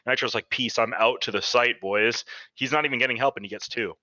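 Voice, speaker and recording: Bright-toned rambling man co-host. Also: mid-range voice, a little fast, no room sound, muffled recording. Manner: rambling, trails off into "I don't know". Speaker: podcast co-host. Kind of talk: casual podcast chat.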